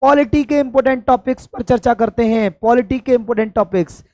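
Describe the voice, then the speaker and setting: A hoarse man from India, teaching an exam-prep lesson and stressing points by repeating them. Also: very high-pitched, brisk, warm tone, no echo, studio quality. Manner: addresses students affectionately, emphatic repetition. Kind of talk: classroom-style exam coaching lecture.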